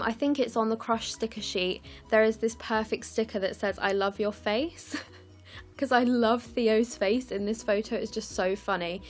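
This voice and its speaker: Young woman with a croaky morning voice. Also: on the high side. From United Kingdom. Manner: chatty, apologetic, frequent ums and likes. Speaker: scrapbooking vlogger. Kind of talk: casual process video voice-over.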